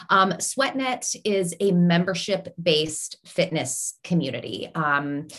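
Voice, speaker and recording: A woman's voice: fairly low pitch, a bit bright. Earnest woman explaining as an interview guest. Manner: earnest, explanatory, frequent "um" fillers. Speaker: guest, fitness business owner. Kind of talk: interview answers.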